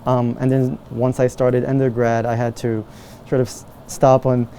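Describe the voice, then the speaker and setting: A man's voice: medium pitch, level tone, normal speed, mellow, slight background noise. A young man from Morocco, a medical student doing research, answering questions in a hesitant, casual way with many fillers.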